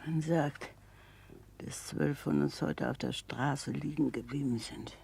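A woman's voice: a bass voice, slow, creaky, a little mellow, husky, heavily acted. An actress playing a weary, plain-spoken female convict in a 1960s German radio drama, speaking matter-of-factly. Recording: hissy old 1960s radio recording.

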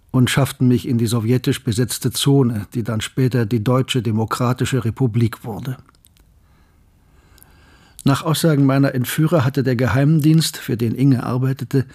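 An actor playing a reflective, betrayed older man in a radio drama, telling his story in a measured, literary way. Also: mid-range voice, a little mellow, slightly rough. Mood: bitter, reflective. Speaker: narrator and lead character. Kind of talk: German radio drama monologue and dialogue.

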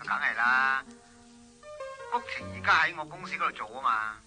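An actor playing an earnest suitor, eager to please, in a Hong Kong Cantonese radio drama. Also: male voice, mid-range voice, animated, normal speed, pushed and bright, soft background music. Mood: friendly, coaxing.

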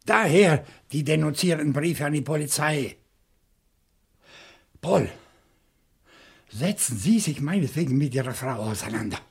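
An actor playing a shrewd, indignant professor turned amateur sleuth in a German radio crime play, reasoning theatrically step by step. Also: male voice, fairly high pitch, animated, a little slow, creaky, pauses often, slightly rough, heavily acted. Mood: grim, resolute.

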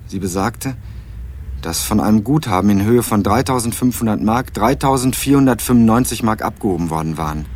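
Ein Schauspieler spielt einen jungen, skeptischen Studenten in einem Krimihörspiel, trocken und literarisch im Ton. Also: male voice, on the low side, animated, brisk, a little mellow. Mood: wry narration. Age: young adult. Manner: dry, wry, literary narrating. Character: young male student investigating, wry and sceptical. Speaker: first-person narrator and lead. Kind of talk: radio crime drama, narration and dialogue. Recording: music behind the voice.

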